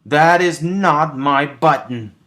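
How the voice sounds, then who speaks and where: A man voicing a grumpy, easily exasperated male toad from a storybook, complaining in an emphatic, drawn-out way. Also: on the high side, fairly bright tone, pitched above the performer's own voice. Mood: wailing, furious.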